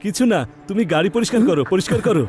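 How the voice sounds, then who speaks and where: A man's voice: high voice, racing, over music, close to an everyday voice. A man voicing a grumbling, money-minded labourer in a cartoon dub, curt and complaining. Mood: spiteful.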